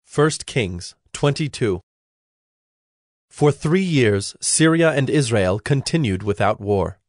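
Mid-pitched man narrating over music. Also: lively intonation, no room sound, studio quality. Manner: measured, formal reading. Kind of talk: scripted Bible narration.

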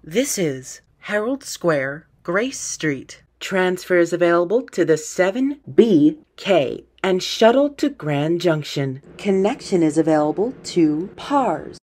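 A woman reading scripted subway announcements in a formal, measured way, in segmented phrases. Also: lowish voice, expressive, a little mellow.

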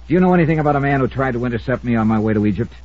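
A man plays a tough, sardonic investigator in a vintage radio mystery. His husky voice moves up and down with wry, probing emphasis, on a dull, muffled old recording. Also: medium pitch, animated, racing, close to an everyday voice. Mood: pressing. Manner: wry, pressing. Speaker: star and narrator of the show. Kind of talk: 1950s radio detective drama acting.

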